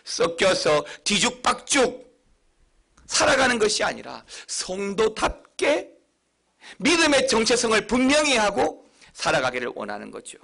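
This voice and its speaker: A middle-aged man from Busan, a pastor preaching a sermon with a slight Busan accent, leaning hard on key words with sudden high, loud stresses. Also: huge pitch swings, a little slow, vocal fry. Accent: Busan Gyeongsang. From Busan, South Korea.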